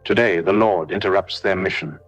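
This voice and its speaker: Deep-voiced man leading a solemn prayer. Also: fairly even intonation, dull audio, faint music behind. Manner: solemn, declarative, measured short phrases. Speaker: prayer leader. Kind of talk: scripted devotional warfare prayer.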